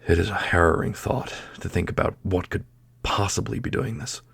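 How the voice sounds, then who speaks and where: A man playing a solemn, formal guardian of mystical obelisks in a fantasy audio roleplay, with a gravelly, breathy, measured voice. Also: sits low, fairly even intonation, a bit bright, no room sound, heavily acted. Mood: somber.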